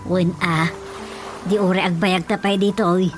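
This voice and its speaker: A woman's voice: fairly low pitch, flat delivery, measured pace, natural voice. A woman with a Filipino accent acting a chatty, practical wife in a radio comedy serial. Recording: music behind the voice.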